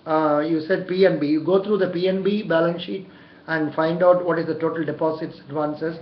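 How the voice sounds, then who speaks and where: A man's voice: on the high side, fairly even intonation, brisk. A man in his sixties with an Indian accent, interviewing and coaching a candidate with frequent short words of encouragement. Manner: encouraging, repeats short approvals. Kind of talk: mock job interview over the telephone. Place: small indoor room. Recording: dull, echoey room recording.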